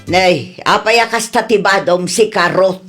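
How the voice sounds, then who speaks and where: A woman playing a nagging, sharp-tongued middle-aged aunt in a scolding, argumentative radio comedy drama. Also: medium pitch, measured pace, music in the background, natural voice.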